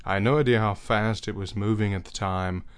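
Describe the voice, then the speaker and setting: A young man speaks in a lowish, resonant voice, earnest and unhurried. He reflects aloud in a personal monologue, pausing to find his words. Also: fairly even intonation, clear, resonant tone. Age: young adult.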